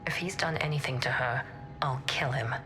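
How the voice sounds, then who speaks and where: A woman's voice: a bass voice, normal speed, over music. A woman playing a guarded, determined operative on the run in a video game, terse and intense. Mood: tense, guarded. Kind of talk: video game cutscene dialogue.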